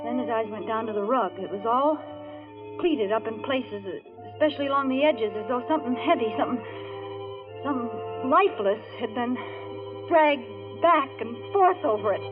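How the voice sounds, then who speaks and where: Actress doing tough-talking young dance hall gal, old 40s radio noir, high voice, tinny sound. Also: female voice, lively intonation, normal speed, lightly acted. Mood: tense narrating. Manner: sassy, slangy, hard-boiled. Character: wisecracking, rattled young redheaded dance hall hostess, also narrating. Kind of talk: 1940s radio noir acting. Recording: tinny old radio broadcast, music behind.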